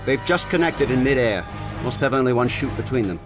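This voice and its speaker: An actor playing an efficient intelligence chief of staff in a video game, crisp and matter-of-fact. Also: male voice, mid-range voice, expressive, a little fast, lightly acted. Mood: tense. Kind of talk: video game cinematic voice acting. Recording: loud music behind.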